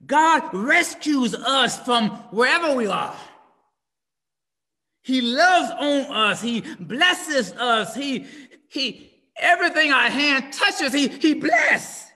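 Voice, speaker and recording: A middle-aged man preaching an impassioned sermon from home over a video call, swinging from shouts down to hushed near-whispers in a repetitive cadence. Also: very high voice, animated, slow pace. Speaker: pastor. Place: home room with window blinds. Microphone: video call webcam. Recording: video call audio with long reverb.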